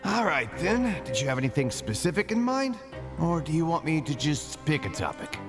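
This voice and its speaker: Affable, animated card-loving inmate. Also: male voice, on the high side, moderate pace. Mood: chatty, amused. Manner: chatty, affable. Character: friendly, easygoing male inmate and card game enthusiast. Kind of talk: video game dialogue. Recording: game music behind the voice.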